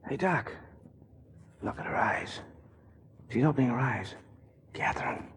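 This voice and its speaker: A man's voice: mid-range voice, expressive, unhurried, a bit bright. An actor playing an anxious, devoted, plain-spoken man in a 1940s radio drama, earnest and colloquial. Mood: tender, subdued. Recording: noisy 1940s radio broadcast.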